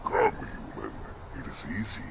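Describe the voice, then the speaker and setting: An actor playing a brutal, zealous alien ape chieftain, a menacing villain in a video game cutscene. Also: male voice, very deep voice, huge pitch swings, measured pace, heavy background noise, heavily acted. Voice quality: gravelly, growling. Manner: menacing, swinging from hushed threat to roar. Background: game score and effects.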